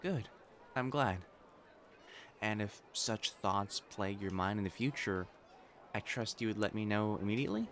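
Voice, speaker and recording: A man's voice: lowish voice, moderate pace, pauses often, a bit bright, slight background noise. Man playing a prim, devoted fiancé, crickets faintly behind. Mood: earnest, tender. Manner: formal, stammering when flustered, earnest. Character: flustered, devoted, prim young male matchmaker fiancé. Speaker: voice actor in romantic audio roleplay. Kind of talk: intimate scripted romance roleplay acting.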